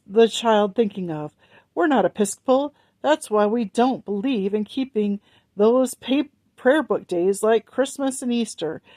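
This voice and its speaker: A woman's voice: on the high side, a little mellow, no room sound, natural voice. A stern Puritan mother snaps at her children in a raised, scolding voice, put on by a woman reading a storybook. The voice is dry and close, with no room around it. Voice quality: scolding, snappish.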